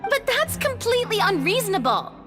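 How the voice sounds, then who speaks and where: An earnest young female adventurer in a video game. Her voice is high, with a suspicious, questioning temper. It carries long hall reverb, with music behind. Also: very animated, a little fast. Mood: indignant. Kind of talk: video game character acting.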